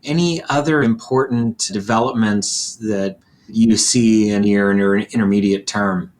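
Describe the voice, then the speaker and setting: A man's voice: fairly low pitch, unhurried. A man hosting a professional podcast interview, asking questions in a courteous, conversational way.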